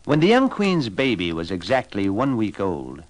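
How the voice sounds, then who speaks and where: Animated male storyteller, lowish voice. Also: normal speed. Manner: expressive, dramatic. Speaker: audiobook narrator. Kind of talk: storybook narration.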